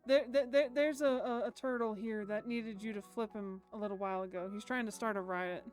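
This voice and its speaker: Female game streamer, higher voice, casual and chatty, reads on-screen story between asides, music behind. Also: fairly even intonation, a little fast, darkish tone. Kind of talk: casual live stream chat mixed with reading a visual novel aloud. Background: background music.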